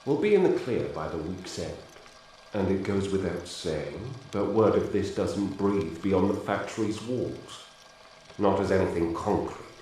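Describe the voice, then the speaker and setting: An actor playing a grave corporate research chief in a video game audio log. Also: male voice, sits low, animated, a little slow, darkish tone. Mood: guarded, assured. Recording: echoey room, distant, noisy tape.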